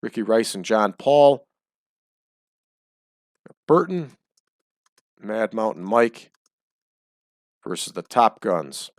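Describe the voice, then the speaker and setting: Male let's-play host, low and close, chatty, voice slightly dry. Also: sing-song, slow, long pauses, dry recording. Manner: chatty, explains choices as he goes. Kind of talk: casual game commentary. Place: small home room.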